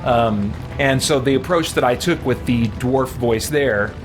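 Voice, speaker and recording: A man giving casual live gameplay commentary, informal and halting as he thinks aloud. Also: medium pitch, over music. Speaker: streamer playing a video game.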